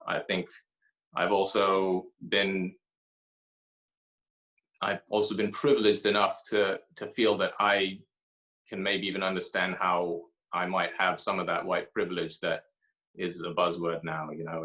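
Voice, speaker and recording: Low, bright-voiced man answering thoughtfully. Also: level tone, low-quality recording. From grew up in Haiti and Ethiopia. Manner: reflective, pauses often with fillers and restarts. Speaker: author being interviewed.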